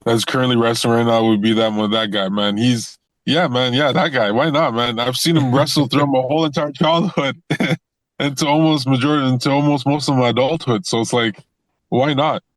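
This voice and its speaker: Young male wrestler guest, easygoing, rambling restarts, mid-pitched, dry mic. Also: brisk, no room sound. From Toronto, Canada. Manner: relaxed, repeats words and restarts often, laughs easily. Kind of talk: casual interview chat.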